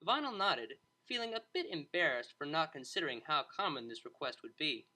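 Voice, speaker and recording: Bright, animated story narrator. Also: mid-range voice, brisk.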